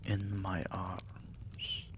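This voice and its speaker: A man playing a tender, possessive vampire king in an intimate ASMR roleplay, murmuring soothingly and affectionately in a breathy, gravelly half-whisper. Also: sits low, monotone, very slow. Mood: gentle, seductive.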